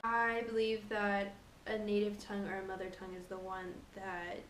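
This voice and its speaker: A woman answers in a measured, careful way, her phrases trailing off quietly. The recording is echoey, with a faint hiss behind her. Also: medium pitch, little pitch movement. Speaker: interviewee. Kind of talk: interview answer.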